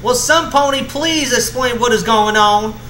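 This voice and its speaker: An outraged female cartoon pony, a bossy and protective big sister, acted out by a man. The voice is pushed well up in pitch. It sits in an echoey room with clear noise behind. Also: unhurried, pushed and bright, heavily acted. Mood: shocked outrage. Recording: roomy echo with clear background hum.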